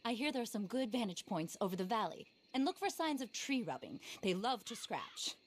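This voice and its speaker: A woman's voice: fairly high pitch, sing-song, brisk, slight background noise. A woman acting an eccentric, passionate, animal-loving naturalist in a video game, theatrical, earnest and a little scattered. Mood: keen. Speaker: quest-giving wildlife specialist in a western game.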